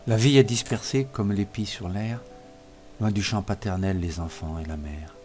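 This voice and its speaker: A man reciting poetry in a solemn, expressive literary style, in measured phrases that sink softer as lines end. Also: lowish voice. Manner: measured phrases falling softer at line ends. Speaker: poetry reader. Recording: music playing behind the voice. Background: music.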